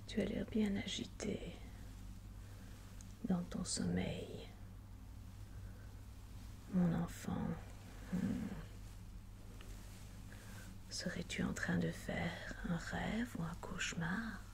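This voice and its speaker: A woman playing a tender maternal figure in an ASMR roleplay, speaking in a hushed, breathy whisper. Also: on the low side, fairly even intonation, heavily acted. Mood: tender, soothing. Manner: slow, soothing, hushed. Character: tender maternal woman watching over a sleeping child.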